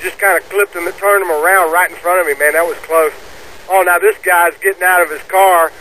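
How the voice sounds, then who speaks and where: A man's voice: sits high, steady tone, brisk, bright, forceful tone. A man leaving a casual voicemail over the phone, describing what he is seeing as an eyewitness. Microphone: telephone call left on voicemail. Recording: noisy voicemail phone recording, traffic.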